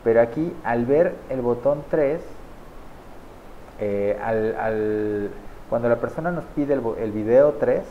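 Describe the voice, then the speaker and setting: A man in his thirties casually walking viewers through a software setup on screen, checking in with them often as he clicks along. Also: medium pitch, slow, narrow, tinny audio, slight background noise. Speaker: online marketing tutor. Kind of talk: screen-share software tutorial. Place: small indoor room at a desk.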